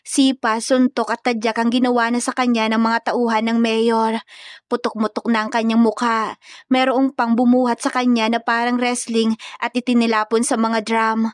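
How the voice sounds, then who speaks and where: High-voiced woman narrating a story. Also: flat delivery, moderate pace, no echo, studio quality. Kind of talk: scripted narration of a romance comedy.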